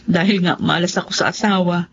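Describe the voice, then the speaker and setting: A woman's voice: on the low side, fairly even intonation, a little fast, natural voice. A woman playing a resigned, embittered mother abandoned by her husband, in a radio drama. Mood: resigned, bitter. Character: bitter abandoned mother losing her sight. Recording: muffled, faintly noisy radio recording.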